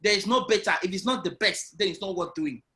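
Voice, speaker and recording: A man telling his business story on a video call interview, with a loud, animated way of speaking. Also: high voice, quick pace. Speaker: guest entrepreneur. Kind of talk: online interview, personal story.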